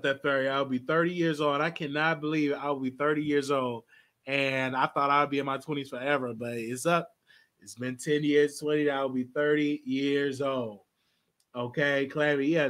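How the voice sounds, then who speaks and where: A man's voice: fairly high pitch, steady tone, moderate pace, a bit bright. A man in his late twenties hosting a live call-in show, chatting casually and laughing often. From Texas. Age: 20s. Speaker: host.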